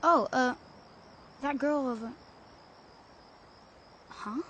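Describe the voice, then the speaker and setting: A woman voicing an earnest, polite young schoolboy with a hesitant, eager manner in an animated game. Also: sits high, very slow, slight background noise, full character voice. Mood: startled, sheepish. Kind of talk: animated game cutscene acting.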